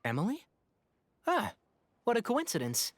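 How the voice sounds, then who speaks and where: A man's voice: very high voice, wildly swooping pitch, measured pace, full character voice. A man playing a caring young forest ranger and scholar in a video game, explaining patiently with light chiding. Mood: concerned.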